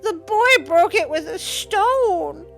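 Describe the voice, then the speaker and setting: Casting: small female bird in distress for a storybook woman performing, high, shrill, fluttery, music behind. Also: expressive, slow pace, creaky, fairly bright tone, full character voice. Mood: feigned distress. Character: frantic, sensible little mother bird.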